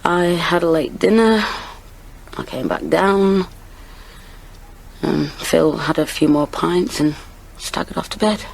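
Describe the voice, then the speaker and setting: An actress playing a guarded, weary mother who gives a halting statement to police in an audio drama. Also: on the low side, gravelly, full character voice, poor audio.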